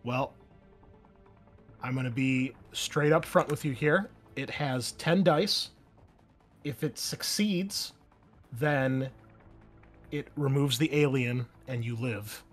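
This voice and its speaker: Man, game master, casual tabletop narration, informal and graphic, medium pitch, faint music behind. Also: slow pace, pauses often.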